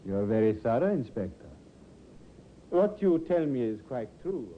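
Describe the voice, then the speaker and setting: An actor playing a smooth-talking male tavern keeper under suspicion in a vintage radio drama, polite, evasive and defensive. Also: medium pitch, sing-song, measured pace. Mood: politely guarded. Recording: muffled, hissy 1950s radio recording.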